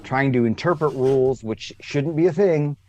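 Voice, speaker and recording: A man's voice: medium pitch, moderate pace, a little mellow, lo-fi sound. A man in his thirties chatting on a video call from his living room, often repeating words and restarting his sentences. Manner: repeats words and restarts, stresses points by saying them twice. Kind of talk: casual video-call chat. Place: living room with couch and gaming chair.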